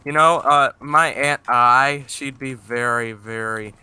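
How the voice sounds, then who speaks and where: A man's voice: mid-range voice, slow pace, bright, forceful tone, clean tone. A man with a Southern US accent chatting in a casual, joking, mock-stern way over a game session, with frequent stumbling restarts. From American South. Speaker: gamer on a multiplayer server.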